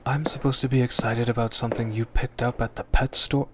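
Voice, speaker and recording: Hushed, rattled young fiancé, acted by a man. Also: mid-range voice, level tone, fairly quick, lightly acted. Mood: impatient. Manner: hushed, stammering. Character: tall, disgusted, frightened fiancé.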